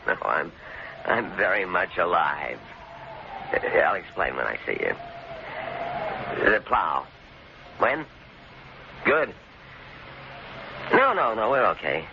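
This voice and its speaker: Deep taunting bad guy from an old radio play, swoopy delivery, noisy muffled recording. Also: exaggerated voice. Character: menacing, mocking man holding a couple captive in a cabin. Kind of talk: old-time radio drama acting.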